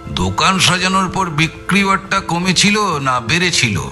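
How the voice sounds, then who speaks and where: A man's voice: high voice, very animated, moderate pace, sharp tone, heavily acted. A man acting a shrewd, genial investigator in an audio story, questioning with hums and tag questions. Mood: inquisitive. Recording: music bed behind the voice.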